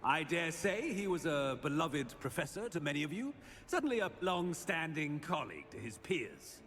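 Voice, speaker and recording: Pompous old wizard, high swooping voice, slow halting oratory, disapproving, game cutscene, long hall reverb. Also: male voice, big pitch swings, fairly bright tone, soft background music. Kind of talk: formal eulogy, video game acting.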